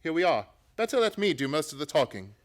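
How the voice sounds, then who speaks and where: An actor playing a shrewd, commanding gentleman detective with a precise manner in a radio play. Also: fairly high pitch, big pitch swings, fast talker. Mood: measured, shrewd. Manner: precise, decisive, authoritative.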